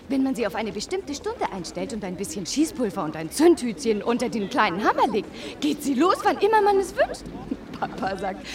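A woman playing an excitable, girlish young upper-class lady telling an anecdote in a German radio play. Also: medium pitch, distant mic. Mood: giggling, chatty. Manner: animated, girlish storytelling.

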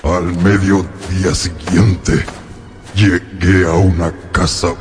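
An actor playing a lonely, sorrowful monster who tells his story in a brooding, halting way for a radio drama. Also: sits low, flat delivery, darkish tone, faint music behind.

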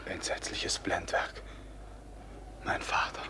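An actor playing a passionate, vengeful robber captain in declamatory classic radio drama style, husky and sharp. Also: male voice, slow, in a whisper. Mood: hushed horror. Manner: declamatory, swinging from hushed horror to fierce shouts.

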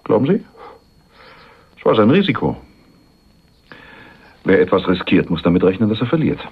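A man's voice: fairly low pitch, very animated, moderate pace, husky. An actor playing a cunning, cynical man who offers himself as a hired killer in a German radio crime drama, sly and self-assured. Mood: cool, cynical. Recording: dull, muffled radio recording.

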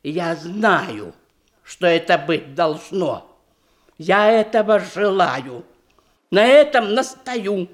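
An actress playing an old provincial widow, a bossy, effusive matchmaker in a verse radio comedy, with folksy, exclamatory delivery. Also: female voice, on the low side, big pitch swings. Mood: bossy, excited. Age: older. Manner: exclamatory, folksy, drawn-out declamation.

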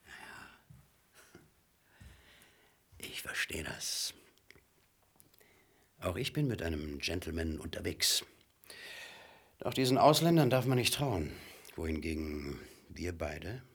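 An actor playing a rough, wheedling and cocky British crook in a German radio play, husky and slangy, swinging between hushed coaxing and loud bursts. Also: male voice, deep voice, very animated, unhurried, long pauses, heavily acted. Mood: cocky, mocking, then coaxing, conspiratorial.

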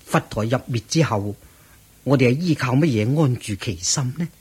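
An actor playing a devoted, grieving monk disciple in a radio drama, reverent and earnest, with husky Hong Kong Cantonese speech. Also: male voice, medium pitch, expressive, normal speed, a little mellow. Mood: earnest, respectful. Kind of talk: Buddhist radio drama acting.

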